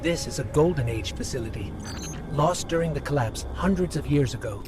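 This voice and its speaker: A man's voice: a little high, lively intonation, brisk, lightly acted. A man voicing an earnest, helpful little robot companion in a video game, explaining things as a knowledgeable guide. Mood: earnest, informative. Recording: loud music under the voice.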